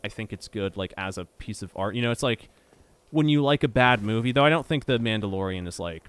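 A man's voice: fairly low pitch, lively intonation, a little fast, fairly bright tone, clear, resonant tone. A young man chatting casually while playing a game, rambling, stammering over his words and swearing when something annoys him. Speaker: gameplay streamer. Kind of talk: casual chat while gaming.

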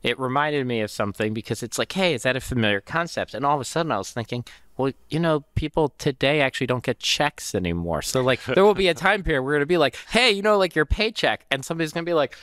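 Bright-voiced chatty male host. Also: medium pitch, fast, no echo, studio quality. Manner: chatty, animated, acts out quoted lines. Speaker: interview host. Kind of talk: casual interview chat. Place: hotel suite set with armchairs. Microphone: boom-arm podcast mic.

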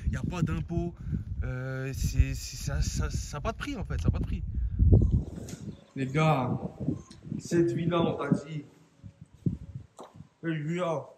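A man from Algeria vlogging casually on a road trip, slangy and excitable, talking straight to his viewers on a handheld camera. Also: on the high side, takes it slowly, pauses often. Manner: slangy, excitable, talks to viewers as "les gars". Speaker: travel vlogger. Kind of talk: casual travel vlog to camera. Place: outdoors and indoors on a road trip, hotel villa and restaurant. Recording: loud background noise. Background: loud ambient noise and vehicles.

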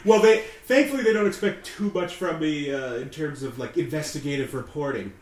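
A man's voice: a little high, expressive, a little fast, roomy sound. Laughing, self-mocking man with a nasal voice. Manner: self-deprecating, laughs often, rambling restarts. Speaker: guest, radio news reader and comic writer. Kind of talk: casual podcast interview.

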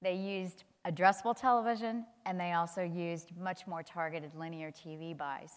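A woman's voice: fairly low pitch, moderate pace, clean tone. Older woman on a reverberant conference stage. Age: 60s. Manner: animated, gestures while talking. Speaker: panelist. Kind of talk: conference panel talk. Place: large conference hall stage. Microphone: lapel mic. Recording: long hall reverb.

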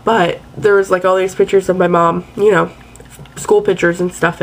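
A woman's voice: medium pitch, slight background noise. A young woman chatting casually and playfully to her webcam in a bedroom at night. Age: young adult. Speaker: vlogger. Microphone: webcam at close range.

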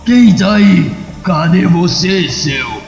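A man's voice: sits high, animated, takes it slowly, vocal fry, reverberant, big-room sound, dull audio, faint music behind, voice pushed up, heavily acted. A man voicing a bitter, desperate bullied teenage boy, agitated, in a comic-book dub.

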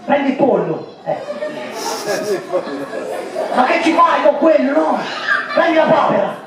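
A man's voice: extremely high pitch, sing-song, a little slow, creaky. Clowning man in echoey outdoor show. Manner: clowning, loud and exclaiming. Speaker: entertainer. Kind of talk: live comic stage show for children. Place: outdoor holiday camp stage. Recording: echoey, far from mic, with crowd noise.

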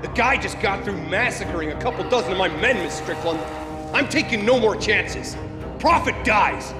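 Ruthless game commander: raised pitch, angry, scornful and defiant, in a reverberant space. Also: male voice, animated, moderate pace. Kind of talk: dramatic video game dialogue. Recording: echoey reverberant space, music behind.

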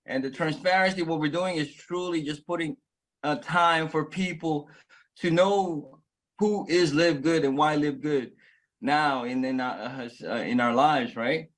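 A man around forty from the Philippines speaks into a close phone camera in a small indoor room. His voice sits a little high for a man, and he tells his story with eager, earnest energy, stumbling and restarting as he goes. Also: unhurried, rough recording. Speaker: network marketing member sharing his story. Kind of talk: personal testimonial to camera. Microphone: phone camera at close range.

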